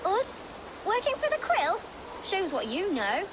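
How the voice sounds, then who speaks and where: A very high, childlike English street girl, cheeky and defiant. She talks in sassy slang like a game character, with clear outdoor ambience behind her. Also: wildly swooping pitch, measured pace, noisy background, heavily acted. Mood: cocky. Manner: sassy, colourful slangy banter. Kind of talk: video game cutscene acting.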